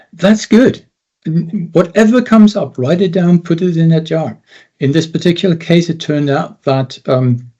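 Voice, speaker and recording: A man's voice: medium pitch, warm tone, slightly rough, muffled recording. A Dutch man with a Dutch accent, a confidence coach explaining his methods earnestly as an interview guest. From Netherlands. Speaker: confidence and self-esteem coach.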